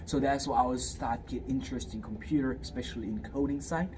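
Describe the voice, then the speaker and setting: A man's voice: medium pitch, fast. Young man, distant and hesitant. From Fiji. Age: 20s. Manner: hesitant, many fillers and restarts. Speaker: guest, student. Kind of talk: casual interview answers. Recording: distant mic, clear background noise.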